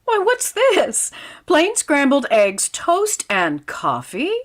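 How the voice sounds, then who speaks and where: A woman's voice: sits high, wildly swooping pitch, slow, heavily acted. A woman playing a wry, sardonic schoolteacher in a 1940s-style radio comedy, with dry, pedantic wisecracks. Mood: dry, puzzled.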